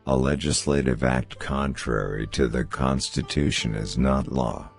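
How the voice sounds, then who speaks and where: A man reads famous quotations as a scripted narration, in a measured, formal manner. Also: a bass voice, vocal fry. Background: background music.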